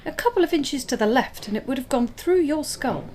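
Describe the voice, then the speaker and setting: A woman playing a brisk, no-nonsense hospital medic in a radio drama. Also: high voice, animated, a little mellow. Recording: faint background ambience noise.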